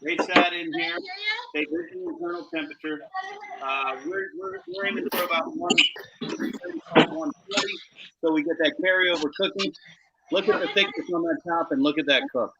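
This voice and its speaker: A man's voice: on the high side, very animated, moderate pace, vocal fry, muffled recording, heavy background noise. A man hosting a live cooking show, chatting casually in slang and talking up the food as he cooks.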